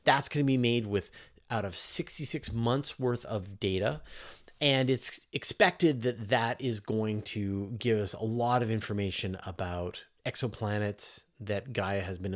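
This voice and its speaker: A man hosting a live science question show as a journalist, talking casually and emphatically and often rephrasing mid-thought. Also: on the low side. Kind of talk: casual livestream Q&A, reading questions and answering off the cuff.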